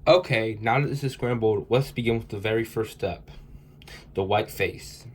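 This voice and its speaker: A young man with a cold, his voice stuffy and congested, explains each step in a loose, meandering way full of fillers. His voice sits on the low side, and a faint hiss runs underneath. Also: moderate pace. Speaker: cubing tutorial host. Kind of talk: casual tutorial explanation.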